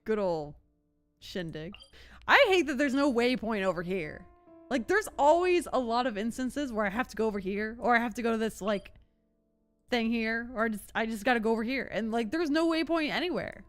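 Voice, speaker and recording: A woman streamer chats casually through a game playthrough in a high, animated voice. She is playful and giggly, taking her time, with soft game music underneath. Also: moderate pace.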